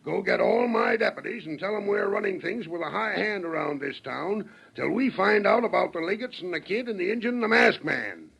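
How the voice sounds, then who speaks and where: A gruff frontier lawman from an old radio western. His voice is high, husky and full of gravel, swinging widely as he blusters and gives orders in a Western American accent. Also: expressive, brisk, hardly any pauses, fairly bright tone, heavily acted, rough recording. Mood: angry, commanding. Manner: blustering, rustic folksy grammar. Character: gruff, bullying Old West sheriff barking questions. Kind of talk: 1930s radio western acting. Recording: old 1930s radio recording.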